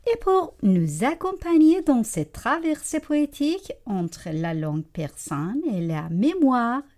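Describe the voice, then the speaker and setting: Animatrice radio, femme, lecture posée et mélodieuse, timbre feutré. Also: female voice, a little high. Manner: slow, expressive, poetic delivery. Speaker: radio host. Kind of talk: scripted radio introduction. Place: radio studio.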